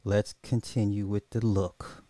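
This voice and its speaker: A man's voice: lowish voice, level tone, measured pace, dark tone, no echo, clean studio sound. A man hosting a casual, opinionated commentary podcast in slangy, informal speech, thinking aloud and often repeating his own phrases. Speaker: podcast host.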